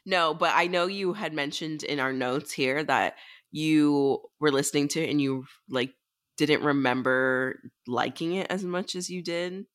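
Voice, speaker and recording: A woman's voice: sits low, measured pace. Woman podcast co-host in casual chat. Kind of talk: casual chat about books.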